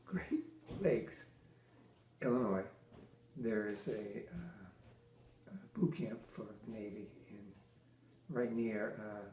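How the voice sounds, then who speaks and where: An older man from Massachusetts, a military veteran, answering interview questions with halting restarts, many fillers and dry teasing humor. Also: lowish voice, dark tone, a little hiss or hum. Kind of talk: oral history interview. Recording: echoey room.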